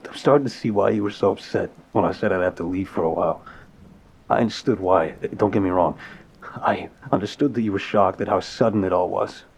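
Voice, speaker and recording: A man's voice: on the low side, creaky, a little mellow, breathy edge. A man acting an apologetic, lovesick mafia boss in an intimate audio roleplay, speaking hesitantly and sincerely with sighs. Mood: flustered, apologetic. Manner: halting, hesitant, sighing, sincere.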